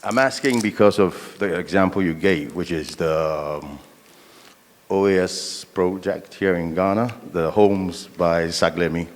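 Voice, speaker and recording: A man's voice: low-pitched, measured pace, long reverb tail. A man in the audience asking a question at a conference, hesitant and thinking aloud.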